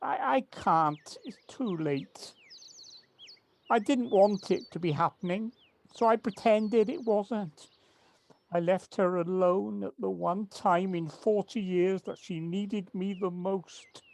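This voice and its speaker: Audio play: a woman playing remorseful older woman, unhurried and anguished, slightly noisy home recording. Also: medium pitch, gravelly, a little mellow. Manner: halting, confessional, anguished. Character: older, remorseful partner of forty years, full of self-pity. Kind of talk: amateur audio drama acting. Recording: faint noise, home personal-device recording.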